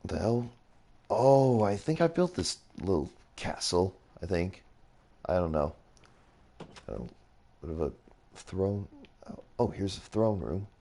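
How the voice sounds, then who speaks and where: A young man casually rambling through a game tour as a streamer, his voice a little raspy, often reacting with surprise. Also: sits low, big pitch swings, takes it slowly, long pauses, dark tone. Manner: rambling, easily impressed, mild swearing. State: slightly raspy voice. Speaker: gaming streamer. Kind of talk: casual game commentary while touring builds.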